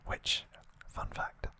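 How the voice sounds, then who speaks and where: An ASMR host whispering a recap of trivia in a breathy, unvoiced voice, with halting, informal asides. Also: bright, forceful tone.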